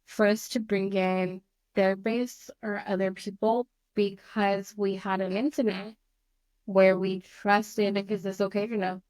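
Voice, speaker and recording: Earnest mother with a naturally loud voice. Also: medium pitch, level tone, no echo, rough recording. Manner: earnest, open, halting. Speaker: mother of a teen on a family counseling show. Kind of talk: candid conversation.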